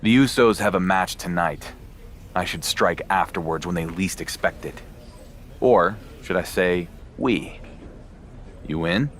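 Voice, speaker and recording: An actor playing a proud, sarcastic wrestling champion with a dry manner in a video game cutscene. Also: male voice, low voice, a little slow, fairly bright tone, slight background noise. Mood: determined. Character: wry, proud male pro wrestling champion.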